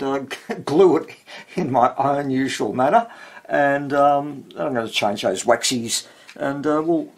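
Husky older man with lively, swinging pitch. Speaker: radio repair hobbyist. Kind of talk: casual hands-on commentary while working. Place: indoor home workbench.

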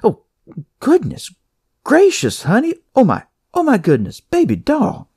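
A man acts out a big motherly nurse in an audio story. The voice is pitched high and swoops about, exclaiming with delight and showering endearments. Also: huge pitch swings, a little slow, darkish tone, husky, no echo, studio quality, heavily acted. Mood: delighted, gushing. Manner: effusive, exclaiming, full of endearments.

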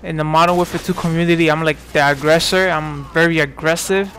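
A man's voice: a little high, steady tone, fairly quick, fairly bright tone, a little hiss or hum. A man in his twenties from New York giving casual, rambling commentary over gameplay, full of fillers and restarts. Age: 20s. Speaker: gaming commentator.

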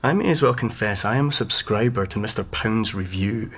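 An actor playing an insinuating, cultured young gentleman tutor in a radio drama, measured and scheming. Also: fairly low pitch, slightly rough. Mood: guarded, courteous.

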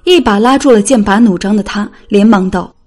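A woman's voice: medium pitch, fairly quick, darkish tone, faint music behind. A woman reading a dramatic scripted story as an audiobook narrator.

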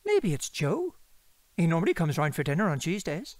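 A man playing an earnest, excitable young housemate in an audio drama. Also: on the high side, moderate pace, dry recording.

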